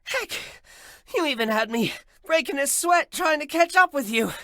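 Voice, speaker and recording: A woman's voice: high voice, expressive, moderate pace, fairly bright tone, no echo, studio quality. A woman voicing a cocky, good-humored young demon fighter in a video game, confident and playful. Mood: amused, impressed.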